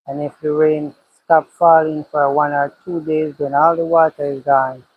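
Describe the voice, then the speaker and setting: A man's voice: on the high side, little pitch movement, normal speed, narrow, tinny audio, slight background noise. A man with a Jamaican accent, a cattle farmer livestreaming from his pasture, thinking aloud and repeating his phrases as he talks. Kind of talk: casual livestream chat, reading comments. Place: outdoors by a cow pasture.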